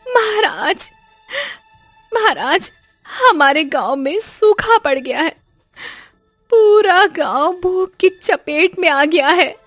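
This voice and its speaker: Cartoon village woman, acted by a female reader: very high whine, slow imploring delivery, music behind. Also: expressive, fairly bright tone, no echo, full character voice. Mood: pleading. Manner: imploring, drawn-out, deferential. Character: pleading, distressed village woman in a moral-story cartoon.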